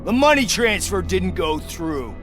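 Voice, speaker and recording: A man's voice: sits high, big pitch swings, a little slow, loud music behind the voice. A male actor playing a pragmatic, mocking mercenary leader in a video game, curt and businesslike. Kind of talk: video game cutscene acting.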